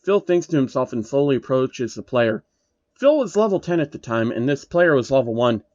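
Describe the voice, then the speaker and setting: Animated man narrating, dull lo-fi mic. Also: medium pitch, normal speed, no echo. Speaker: narrator reading a fan fiction story. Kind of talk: scripted story narration with asides.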